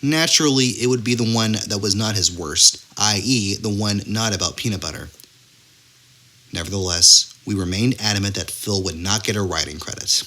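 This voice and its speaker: A man giving a mock-earnest scripted announcement in a deadpan manner as a comedy performer. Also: lowish voice.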